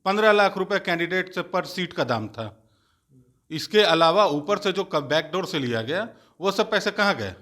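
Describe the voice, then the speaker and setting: A man's voice: high-pitched, animated, moderate pace, a bit bright. A man giving combative political interview answers as a party spokesperson, emphatic and accusatory, with scorn for his rivals.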